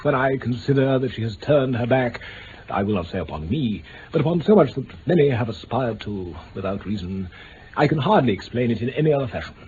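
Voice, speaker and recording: An actor with an upper-class English accent playing a stiff, haughty lord, hesitant and condescending, in mid-century radio drama style. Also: male voice, medium pitch, expressive, brisk, a little mellow, natural voice. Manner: hesitant, pompous, formal. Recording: dull, hissy old radio recording.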